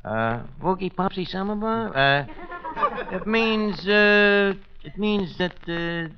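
A man's voice: sits high, slow pace. An actor playing an unrefined, wisecracking man who talks colloquially and hesitantly in 1940s radio comedy. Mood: bluffing. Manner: hesitant, colloquial, malapropism-prone. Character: Archie, wisecracking, unrefined tavern man who mangles words. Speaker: comic host of a radio show. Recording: noisy, muffled vintage radio recording.

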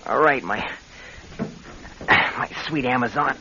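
An actor playing a scheming, two-faced husband in a radio drama, smooth-talking but nervy. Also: male voice, mid-range voice, slow. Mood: coldly gloating. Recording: dull, noisy radio recording.

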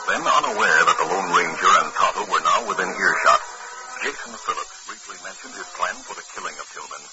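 Deep-voiced male radio Western narrator. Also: fairly quick. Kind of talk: dramatic scripted radio narration. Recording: muffled, very noisy old radio recording.

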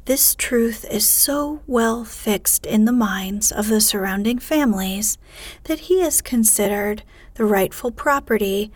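A woman reading a bedtime story aloud in a soft, hushed ASMR whisper. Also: medium pitch, measured pace, no echo. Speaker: audiobook narrator.

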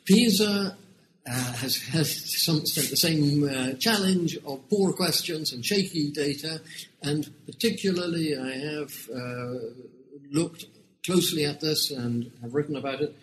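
Older British man, measured explanations. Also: a little high, a bit bright, a little hiss or hum, rough recording. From United Kingdom. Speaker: education researcher, guest author. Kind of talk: interview.

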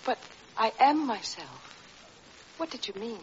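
An actress playing an anxious, fragile wife in an old radio drama, earnest and hesitant. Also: female voice, on the high side, sing-song, measured pace. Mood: meek, uncertain. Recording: dull, noisy vintage radio recording.

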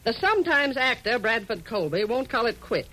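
A domineering older American woman boss in a 1940s radio drama. Her delivery is curt and commanding, with a sharp, forceful tone and big swings in pitch. It sounds like an old broadcast. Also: big pitch swings, moderate pace, a little hiss or hum, low-quality recording. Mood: brusque, businesslike. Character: bossy, brusque older female publishing tycoon.

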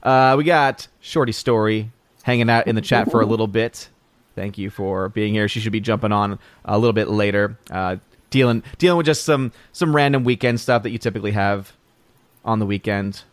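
A man's voice: on the low side, brisk, no room sound. A man hosting a casual livestream, greeting viewers in the chat by name with playful hails. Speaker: livestream host.

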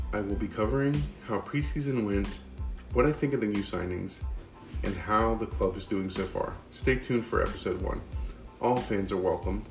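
A man's voice: on the low side, creaky. A man hosting his own podcast in a casual solo monologue, earnest and rambling, with frequent ums and restarts. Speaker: podcast host. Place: home recording in central North Carolina.

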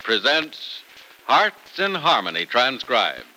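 A man works as an old-time radio announcer, reading scripted copy with theatrical emphasis. His voice is very sharp and forceful and moves widely in pitch. It sounds like a vintage broadcast. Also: very animated, slow, rough recording.

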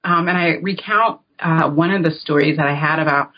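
A woman's voice: low voice, normal speed, muffled recording. An American woman answering interview questions as an academic guest, in a reflective, informal, explanatory way. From United States (North Carolina). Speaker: guest, author and political science academic.

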